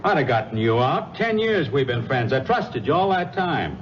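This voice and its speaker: An actor playing a two-faced fence who feigns wounded innocence, protesting plaintively in a 1950s radio drama. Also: male voice, mid-range voice, very animated, moderate pace, natural voice. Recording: noisy, muffled 1950s radio recording.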